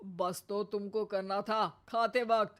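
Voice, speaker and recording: A woman's voice: mid-range voice, fairly even intonation, slow pace, fairly bright tone. A woman voicing a teasing older father-in-law in a cartoon story, with mocking dry humor.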